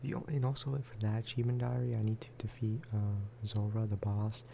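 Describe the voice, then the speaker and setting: A man speaking softly in a low-key, rambling ASMR style as he chats over gameplay. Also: little pitch movement, fairly quick, vocal fry, a little hiss or hum. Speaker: gaming video creator. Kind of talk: soft-spoken ASMR gameplay chat.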